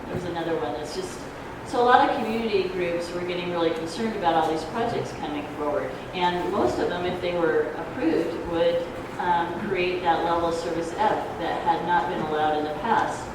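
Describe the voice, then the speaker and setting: A soft-voiced woman giving an informal explanatory talk at a public forum, speaking in a halting, hesitant way. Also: fairly low pitch. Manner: halting, hesitant, loses her thread. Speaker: ballot measure proponent, debate speaker. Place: community grange hall. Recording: echoey hall, distant noisy microphone.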